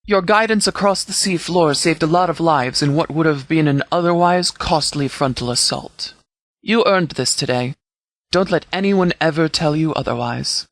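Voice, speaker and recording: Formal, higher-pitched male military commander. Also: a bit bright, no room sound. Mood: solemn, appreciative. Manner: formal, measured, authoritative diction. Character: stern, formal military commander giving orders. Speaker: video game character voice. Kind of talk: scripted game dialogue, mission briefing.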